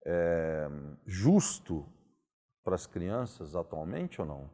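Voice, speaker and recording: A man hosting an interview, asking thoughtful, philosophical questions in a calm conversational way. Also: low voice, takes it slowly.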